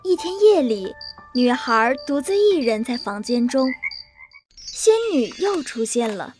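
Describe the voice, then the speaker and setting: Storybook narrator: woman, high, very expressive delivery. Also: measured pace, faint music behind. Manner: lively, expressive. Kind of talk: scripted bedtime story narration.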